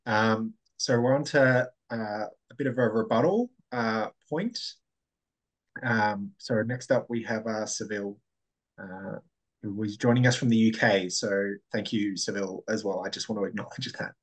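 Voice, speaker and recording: Guy in his 30s moderating over video, lots of uhs, kinda husky low voice, a bit far off. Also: steady tone, moderate pace. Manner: hesitant, filler-heavy. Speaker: debate moderator. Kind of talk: hosting a video panel.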